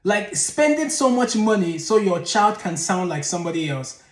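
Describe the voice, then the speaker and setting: A man's voice: high voice, big pitch swings, normal speed, reverberant. Blunt man. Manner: blunt, exasperated, digressive. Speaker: channel host. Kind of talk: opinionated commentary.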